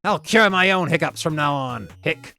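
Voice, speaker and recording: A man voicing a grumpy, excitable cartoon duck who exclaims and hiccups in a storybook reading. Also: a little high, normal speed, gravelly, soft background music, voice pushed up, full character voice. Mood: exasperated.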